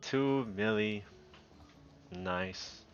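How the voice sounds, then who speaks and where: A man's voice: lowish voice, extremely slow, lo-fi sound, faint music behind. A young man streaming a game live, chatting casually and muttering his reactions to himself.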